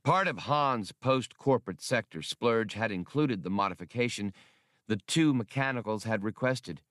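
A man narrates a novel as an audiobook. His voice is on the low side, and the sound is close and dry, with no room around it. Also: animated, moderate pace, fairly bright tone, no echo. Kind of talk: scripted fiction narration.

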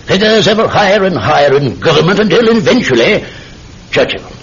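An actor playing an evasive, arrogant villainous doctor in a melodramatic radio drama. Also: male voice, high-pitched, very animated, a little fast, fairly bright tone, breathy edge, exaggerated voice. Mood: smug, sinister. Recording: dull radio sound with music.

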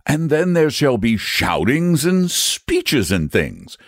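A man's voice: mid-range voice, wildly swooping pitch, slow, dry recording, studio quality, voice pushed up, heavily acted. A man voicing an earnest, patient, confident storybook knight who reasons in an eager, persuasive way. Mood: explaining. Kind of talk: storybook character voice.

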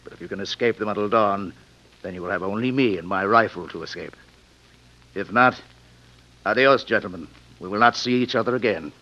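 A man's voice: fairly low pitch, fairly quick, pushed and bright. A man playing a cold, mad and courteous villain in a Western radio drama, speaking with suave, formal menace. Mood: cruel, mocking. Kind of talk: 1950s Western radio acting. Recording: muffled, noisy old radio recording with clicks.

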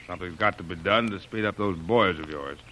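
Gruff jungle trek boss, very low forceful voice, folksy clipped talk, noisy old radio serial. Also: male voice, brisk. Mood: firm, pressing. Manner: plain-spoken, folksy clipped phrasing. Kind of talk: 1930s radio adventure acting.

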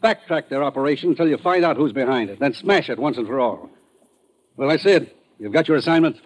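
An actor playing an authoritative government commissioner in an old radio drama, briefing gravely and giving urgent orders. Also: male voice, mid-range voice, lively intonation, brisk, slight background noise, lightly acted. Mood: urgent, commanding. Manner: grave, commanding. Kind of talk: 1940s-50s radio drama acting.

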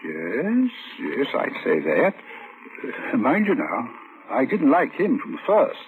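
A man's voice: mid-range voice, huge pitch swings, slow. An actor playing a reserved, plain-spoken middle-aged male neighbour in a vintage radio drama, cautious and clipped in his answers. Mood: cautious recollection. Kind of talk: 1940s-1950s radio drama acting. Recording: tinny, hissy vintage radio recording.

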